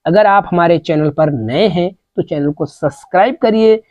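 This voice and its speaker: A woman teaching Arabic phrases in a slow, repetitive vocabulary lesson, saying each phrase several times. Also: lowish voice, a little mellow, no echo. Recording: dull, lo-fi sound.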